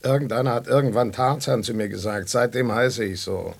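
An actor playing a scruffy, coarse feral tomcat in a children's radio play, insolent and gravelly. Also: male voice, mid-range voice, a little fast. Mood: grumbling, cocky. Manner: vulgar, insolent.

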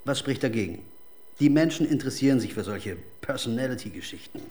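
An actor plays a smug male music manager in a radio crime play. His medium-pitched voice is polished and formal. He is evasive and condescending, all business. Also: lively intonation, fairly quick, dark tone, close to an everyday voice. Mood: smooth, evasive. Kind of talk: German radio crime drama acting.

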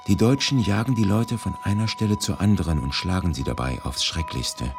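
A man with a very deep voice narrates a scripted radio documentary. His delivery is grave and deliberate, each phrase measured, and music plays clearly underneath him. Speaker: reader of a historical eyewitness testimony.

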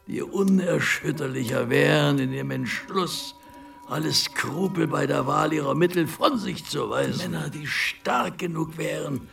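Venomous old agitator, heavy creak, toothless, a bit off the mic, music under it, radio drama. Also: male voice, a little high, fairly bright tone, far from the mic, heavily acted. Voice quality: gravelly, creaky.

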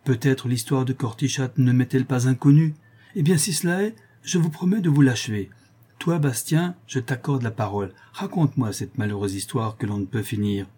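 Man as a stern, mellow-voiced naval lieutenant. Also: medium pitch, slightly rough, pitched above the performer's own voice, close to an everyday voice. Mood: conciliatory, commanding. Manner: authoritative, measured, formal. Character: stern, commanding naval lieutenant addressing his crew. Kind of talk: acted audiobook character dialogue.

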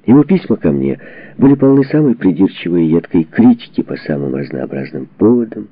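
A man reading a memoir as a scripted audiobook narration, with measured, dramatic phrasing and expressive delivery. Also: low voice. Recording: dull, muffled lo-fi audio.

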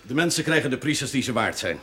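An actor playing a worldly, teasing country priest with a wordy, self-assured manner in a television drama. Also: male voice, medium pitch, lively intonation, quick pace, pushed and bright, slight background noise. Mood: wry, teasing. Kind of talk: naturalistic TV drama dialogue.

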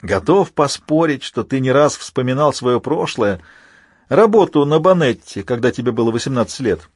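A man voicing an insolent, taunting villain in a high, drawn-out voice for an audiobook. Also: animated, breathy edge, no echo, studio quality. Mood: mocking. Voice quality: high-pitched voice. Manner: mocking, drawn-out delivery. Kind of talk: dramatic audiobook character voice.

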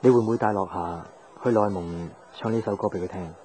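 An actor playing a reflective young traveller in a radio drama, narrating and chatting unhurriedly with a Hong Kong Cantonese accent. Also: male voice, lowish voice, brisk, dark tone, a little hiss or hum, close to an everyday voice. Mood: curious, wistful. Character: reflective young man travelling, troubled by love.

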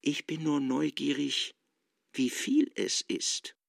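Guy acting a tired brooding roman governor in a radio play, commanding, dry close sound, gravelly. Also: a little high, fairly even intonation, slow, no room sound, voice pushed up, heavily acted. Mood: probing, dry. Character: weary, brooding Roman governor, sharp-minded commanding man. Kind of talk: literary radio drama acting.

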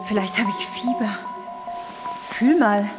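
A woman's voice: mid-range voice, lightly acted. A woman playing a seductive, languid dream lover coaxing a man in an intimate radio drama. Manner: slow, insistent, sultry. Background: music.

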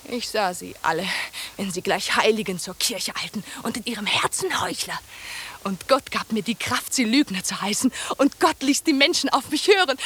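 High female voice, fervent self-righteous young temptress, intense, vengeful, vintage radio play acting. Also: fairly bright tone, breathy edge, exaggerated voice. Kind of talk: mid-century German radio drama acting.

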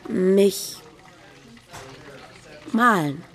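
A woman playing a middle-aged café owner reminiscing in an unhurried way in a German radio drama. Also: mid-range voice, lively intonation.

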